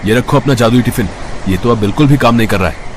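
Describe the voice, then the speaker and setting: A man voicing a poor, hardworking village miller in a cartoon story, husky and dramatically exclaiming. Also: mid-range voice, fast talker, slight background noise, lightly acted. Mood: angry, accusing.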